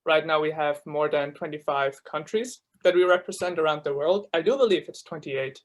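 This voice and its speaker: A young man from Switzerland whose first language is German, giving a casual, filler-heavy talk as a student ambassador over a video call. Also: on the high side. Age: young adult. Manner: chatty, many fillers, quick laughs. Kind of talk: casual presentation to new students.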